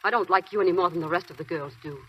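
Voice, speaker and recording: An actress playing a warm but firm senior army nurse in a 1940s radio drama, speaking in a coaxing, sometimes scolding way. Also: female voice, fairly low pitch, racing, husky. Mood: stern. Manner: teasing, then firm and scolding. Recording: muffled 1940s radio broadcast with faint music.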